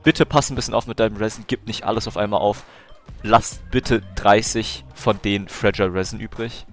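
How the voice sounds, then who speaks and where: A man's voice: on the low side, steady tone, brisk, faint music behind. A man with a German accent giving casual commentary and chatting as he streams a game. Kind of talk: casual gameplay commentary and chat.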